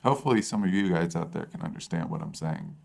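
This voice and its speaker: A man co-hosting a podcast in casual chat, with a low bassy voice and a self-deprecating, rambling way of talking. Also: fast talker, creaky, a little mellow, breathy edge. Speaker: podcast co-host.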